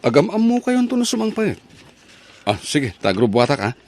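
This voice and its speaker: A man's voice: fairly high pitch, huge pitch swings, moderate pace, darkish tone. A man playing a mild younger husband who soothes and reassures in an understated way, in an Ilocano radio drama. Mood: placating.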